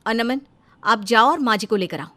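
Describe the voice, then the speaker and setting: A woman voicing an exasperated, sharp-tongued young working wife who speaks emphatically in a dramatized story. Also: a little high, moderate pace. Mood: urgent. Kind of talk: dramatized Hindi moral story.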